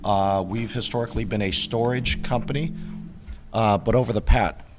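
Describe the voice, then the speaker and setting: A man on a tech panel who is losing his voice, measured and apologetic, with many fillers. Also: fairly low pitch, steady tone, music in the background. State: partly lost voice. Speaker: panelist, technical account manager. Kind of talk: conference panel talk. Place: large conference hall. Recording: reverberant hall, faint noise.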